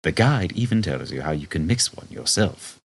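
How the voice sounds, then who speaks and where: A man's voice: deep voice, expressive, moderate pace, vocal fry, breathy edge. A man reading a comic novel aloud as a scripted storybook narration. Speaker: audiobook narrator.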